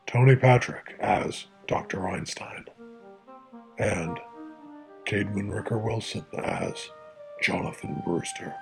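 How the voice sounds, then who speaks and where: A male announcer introduces a radio drama in a measured, theatrical way. His voice is low with a gravelly creak, and music plays softly behind him. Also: rough recording.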